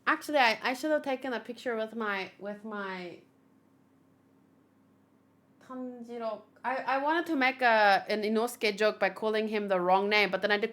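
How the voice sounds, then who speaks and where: A woman's voice: mid-range voice, a bit bright. A young woman streamer chatting casually and rambling through a game reaction, with playful little exclamations. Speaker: gaming streamer. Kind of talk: casual livestream chat and reaction.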